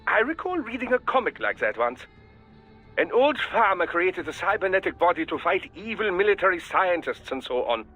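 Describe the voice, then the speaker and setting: A fussy, genial male scientist with a high, husky voice that swings animatedly up and down. He speaks formally and precisely, like a wordy academic in a game. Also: very animated, normal speed, sharp tone, faint music behind, exaggerated voice, rough recording. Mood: enthusiastic, lecturing. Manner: formal, verbose, precise, over-polite. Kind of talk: video game voice acting.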